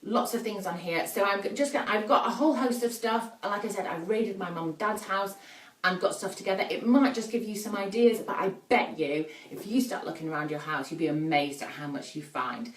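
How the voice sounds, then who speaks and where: A woman's voice: mid-range voice, a little fast, slight background noise. A woman with a northern English accent, chatting informally and explaining things as she hosts a casual live baby play demo at home. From northern England, Yorkshire. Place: indoors at a family house.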